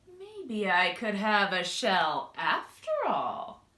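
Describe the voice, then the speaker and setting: A woman's voice: medium pitch, huge pitch swings, slow pace, sharp tone, voice pushed down, full character voice. A woman voicing a naive, wistful male slug in a storybook, full of wonder and exclamation. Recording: echoey room.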